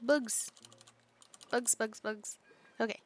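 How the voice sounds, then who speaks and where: Casual woman streamer, slightly high voice. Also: fairly even intonation, slow pace. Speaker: game streamer. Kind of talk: casual game commentary, muttering to self.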